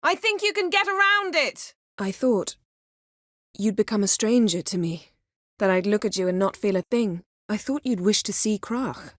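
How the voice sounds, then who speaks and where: A woman's voice: medium pitch, animated, normal speed, fairly bright tone, dry recording, studio quality, close to an everyday voice. A woman voicing a proud, commanding, sardonic sorceress in a fantasy video game, with formal, cool, clipped diction. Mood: urgent shouting, then quietly intimate. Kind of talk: video game voice acting, scripted dialogue.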